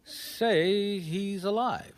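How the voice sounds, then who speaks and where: An actor playing a dry, world-weary private detective in a radio mystery, speaking in a matter-of-fact way. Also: male voice, high voice, extremely slow. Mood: dry, probing. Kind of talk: radio mystery acting with first-person narration.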